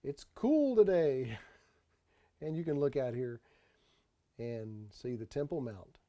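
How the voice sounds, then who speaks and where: Guy doing voice-over for a travel tour video, relaxed mellow tone, kinda halting, repeats himself as he explains stuff. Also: mid-range voice. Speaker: tour narrator.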